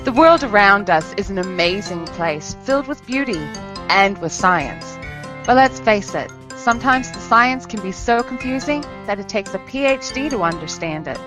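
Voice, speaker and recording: A woman host delivers a playful, inviting scripted opening at a middle pitch. Clear music plays underneath her voice. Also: expressive, moderate pace. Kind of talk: scripted show intro. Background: music bed.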